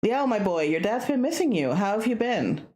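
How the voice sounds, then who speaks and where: A woman's voice: medium pitch, big pitch swings, moderate pace, mellow, voice pushed up, lightly acted. A woman voicing a traditional middle-aged father in a visual novel, blunt and questioning. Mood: concerned.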